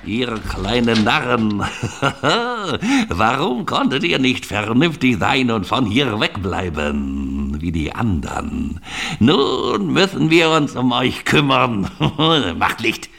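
A man's voice: mid-range voice, big pitch swings, slow, vocal fry, a bit bright, heavily acted. A man playing a sneering, menacing villain in an audio drama, gloating and commanding as he speaks.

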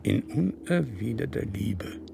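An actor playing a bitter, resigned old man, hushed and gravelly, in an intimate radio drama monologue. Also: lowish voice, expressive, slow, heavily acted. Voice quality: creaky, gravelly. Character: bitter, resigned old man voicing his farewell letter. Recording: clearly noisy background.

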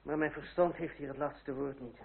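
Wry, proud young republican gentleman, slightly high voice, formal period radio drama, narrow old recording. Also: fairly even intonation, gravelly. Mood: wry, resigned. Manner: formal, sardonic, measured. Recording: old muffled radio play, hum, narrow band.

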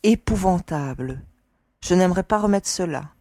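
A woman's voice: lowish voice, animated, moderate pace, a little mellow. An actress playing a proud, sceptical young woman in a science fiction audio drama, with a dry, blunt manner. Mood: dry, sardonic. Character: proud, sceptical, sharp-tongued young woman space traveller.

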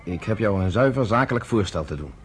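A man's voice: fairly low pitch, expressive, fast talker, slight background noise, natural voice. An actor playing a firm, shrewd, commanding male leader in a radio drama. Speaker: underground organizer.

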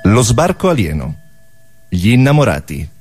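A man's voice: on the low side, very animated, moderate pace, darkish tone, breathy edge. A man performing absurdist comic theatre, announcing and acting sketches. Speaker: stage performer.

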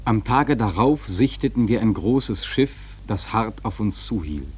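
Sailor narrator, lowish husky voice, grave, measured, literary radio-drama storytelling. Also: male voice, expressive, darkish tone, exaggerated voice. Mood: solemn. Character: grave sailor narrator recalling a doomed whaling voyage.

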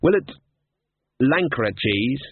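A man's voice: medium pitch, animated, extremely slow, slight background noise. A man voicing a bumbling, evasive but helpful academic wizard in an audiobook, hesitant and stammering. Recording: boxy, echoey, phone-like audio.

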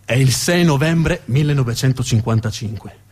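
Measured male theatre storyteller. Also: mid-range voice, darkish tone, husky. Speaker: storyteller, stage actor. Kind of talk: dramatic narrative theatre, scripted narration.

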